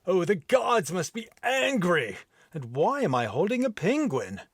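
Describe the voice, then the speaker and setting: A man voicing a rough, boastful male Viking warrior with a deep, rough put-on brogue accent. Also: sing-song, a little slow, dry recording, full character voice. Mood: bellowing.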